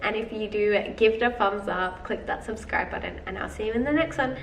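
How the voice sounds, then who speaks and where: A woman's voice: medium pitch, fairly quick. Chatty young woman with a head cold. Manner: chatty, filler-heavy, keeps addressing viewers as guys. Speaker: vlogger. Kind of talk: casual video diary to viewers. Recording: roomy, slightly distant, faint noise.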